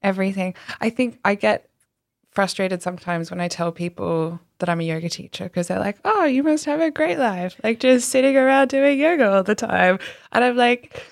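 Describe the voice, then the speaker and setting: A woman's voice: mid-range voice, normal speed, vocal fry, dry recording, clean studio sound. A woman, a yoga teacher and business owner, chatting casually and thoughtfully. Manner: chatty, thoughtful, trails off mid-sentence. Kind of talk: casual conversation.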